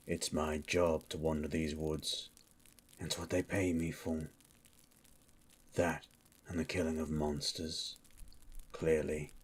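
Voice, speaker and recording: A man playing a gruff, suspicious monster hunter in an ASMR roleplay, with a guarded, dry manner. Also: exaggerated voice. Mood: wary, dry. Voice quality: low, gravelly-husky.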